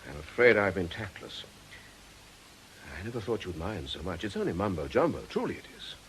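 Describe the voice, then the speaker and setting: A concerned, reasonable priest in a radio drama. His voice is on the low side and very varied in pitch, reassuring and tactful yet ready to challenge, with a slight hiss on the recording. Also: big pitch swings, fairly quick, a bit bright, poor audio. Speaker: actor. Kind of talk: British radio drama acting.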